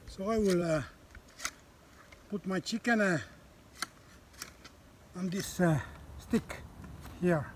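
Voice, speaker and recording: Slow, halting man by a campfire. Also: high voice, a little hiss or hum. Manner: halting, many "uh" fillers, explaining as he works. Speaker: bushcraft channel host. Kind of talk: casual outdoor demonstration. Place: outdoors on a wooded hillside by a campfire. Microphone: camera on the ground a few meters off.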